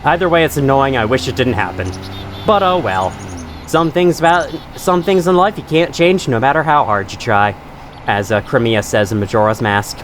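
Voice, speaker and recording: A young man with a stuffy nose, rambling and swearing casually through relaxed gameplay commentary. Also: mid-range voice, normal speed, slight background noise. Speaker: gaming channel host. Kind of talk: casual let's-play commentary.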